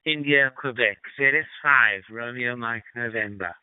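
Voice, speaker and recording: A man from South Africa working as a ham radio operator, slowly spelling out call signs in phonetic code. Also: medium pitch, roomy sound, recorded from a distance, narrow, tinny audio. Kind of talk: amateur radio contacts.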